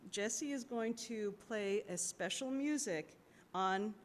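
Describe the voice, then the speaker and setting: A woman whose first language was not English, giving an unscripted thank-you speech in church that halts often. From United States. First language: Cantonese or Malay family language. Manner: halting, with many fillers and restarts. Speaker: church donor and board host. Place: large sanctuary. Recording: long hall reverb with faint rumble.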